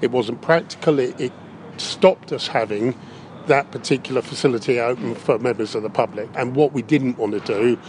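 A man's voice: mid-range voice, normal speed, darkish tone. A British man, a council leader, answering interview questions in a plain, matter-of-fact way. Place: inside a circus big top tent. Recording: noisy location recording inside big tent.

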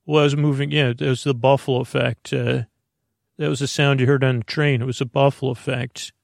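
A man hosting a sleep podcast in a creaky, gravelly voice, rambling haltingly through whimsical tangents and imagined back-and-forth with himself. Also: mid-range voice, flat delivery, dry recording, studio quality. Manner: meandering, tangent-prone, halting; whimsical wordplay, talks to himself in imagined dialogue. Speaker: podcast host.

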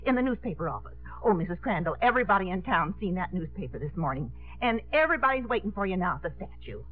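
An actress playing an excitable, loyal, folksy American small-town housekeeper in a breathless manner, in 1950s radio comedy style. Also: female voice, lowish voice, fast. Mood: excited. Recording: tinny vintage radio broadcast.

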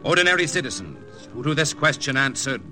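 A man's voice: mid-range voice, very animated, fairly quick, music in the background. A man announcing a 1950s radio drama in a dramatic, portentous way.

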